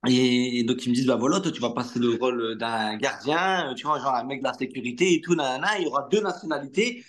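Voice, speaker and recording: A man whose first language is Arabic, an actor telling stories in a relaxed interview, chatty, slangy and full of fillers. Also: medium pitch, fast talker. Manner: animated anecdote-telling with street slang and many fillers. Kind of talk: casual interview storytelling.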